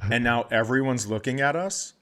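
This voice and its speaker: A man's voice: medium pitch, moderate pace, fairly bright tone. A man co-hosting a comedy podcast, telling a long story in casual chat and acting out the other people's voices.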